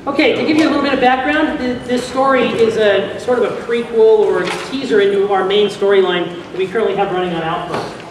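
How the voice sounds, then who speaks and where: A man's voice: high voice, steady tone, quick pace. A man presenting to an audience, giving informal live instructions for a recording session. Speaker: presenter directing an audio drama recording. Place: large convention hall. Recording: echoey noisy hall, distant sound. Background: room noise and ambience.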